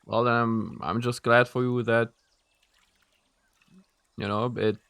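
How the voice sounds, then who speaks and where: A man's voice: medium pitch, flat delivery, takes it slowly, creaky, a bit bright, smooth, dry recording, studio quality. A man streaming a video game, thinking aloud in a rambling way and chatting with his viewers. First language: German. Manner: answers chat messages as he plays. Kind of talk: casual live-stream chat while playing.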